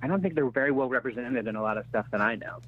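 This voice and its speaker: Male writer guest, phone-like audio, mid pitch, halting, conciliatory, casual discussion. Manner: halting, self-correcting, conciliatory. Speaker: comedy screenwriter, interview guest. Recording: phone-like band-limited remote line.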